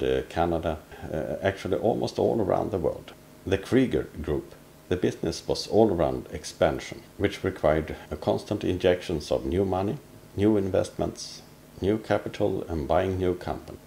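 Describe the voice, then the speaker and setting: Male vlog narrator, finance consultant type, dark creaky voice, phrasing. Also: low-pitched, slight background noise. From Sweden. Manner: non-native English phrasing.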